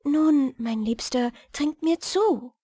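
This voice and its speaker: A woman as a high-voiced, wistful young maiden. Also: animated, slow pace, dark tone, no echo, studio quality. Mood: coaxing. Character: beautiful young witch's daughter, coaxing, wistful maiden.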